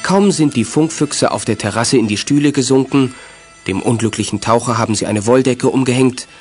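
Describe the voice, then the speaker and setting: A man's voice: mid-range voice, brisk, a little mellow, soft background music, lightly acted. A man reading scripted narration for a children's detective radio play. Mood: descriptive.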